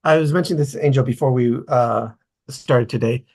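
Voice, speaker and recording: A man with a somewhat husky voice talks casually in a group discussion. His pitch is middling, and he explains things haltingly, often interrupting himself to pick up the thread. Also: a little mellow.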